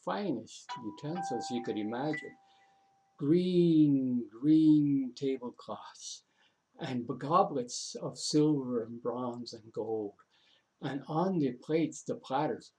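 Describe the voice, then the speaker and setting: A man with a mid-pitched voice tells an old folk tale aloud. He is dramatic and unhurried, repeats phrases for effect and slips in casual asides to his listeners. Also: warm tone, low-quality recording. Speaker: storyteller. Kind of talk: oral folk tale storytelling.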